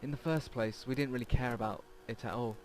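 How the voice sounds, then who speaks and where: A man's voice: mid-range voice, steady tone, brisk, a little mellow, a little hiss or hum. A man hosting a let's play, reading visual novel text aloud and stumbling over words now and then. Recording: game music behind the voice. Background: game music.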